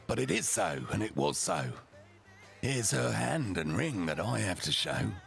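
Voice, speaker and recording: Storybook noblewoman, played by a man: cool, deliberate, defiant, with a creaky low register. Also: big pitch swings, fairly bright tone, soft background music, exaggerated voice. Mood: ringing, accusing. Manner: deliberate, dramatic, icy. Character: young noblewoman bride, composed, coldly defiant.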